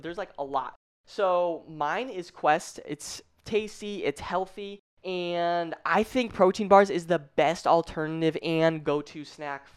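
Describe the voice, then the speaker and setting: Stuffy-voiced woman fitness coach, lower-pitched, high-energy live-stream host who swears freely. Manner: hyped, swears casually, addresses viewers by name. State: congested, a little stuffy.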